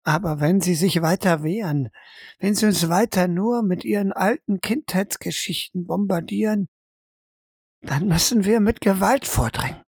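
A woman's voice: medium pitch, moderate pace, darkish tone, slightly rough, no echo, clean studio sound. A woman acting in an audio drama as a stern, insistent interrogator who speaks formally and presses hard. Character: stern, insistent female interrogator probing a prisoner's mind.

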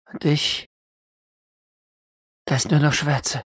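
A man's voice: on the high side, flat delivery, takes it slowly, fairly bright tone, slightly rough, dry recording, studio quality. A man narrating an improvised audio drama as game master, in terse, ominous fragments.

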